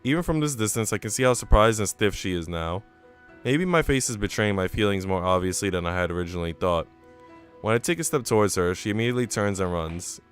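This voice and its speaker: A man's voice: on the low side, lively intonation, fast talker, clear, resonant tone, faint music behind. A man reading a visual novel aloud on a stream, mixing narration with casual, chatty remarks to viewers. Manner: chatty asides between narrated passages. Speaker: game streamer.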